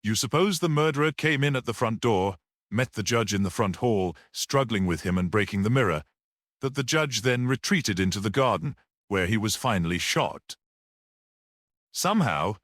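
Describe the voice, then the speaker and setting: A man's voice: fairly low pitch, no echo. Man acting priest-detective, earnest, passionate, wide swooping intonation, storybook mystery, dry close sound. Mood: reflective, assured. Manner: animated, rhetorical explaining. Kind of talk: dramatic audiobook character reading.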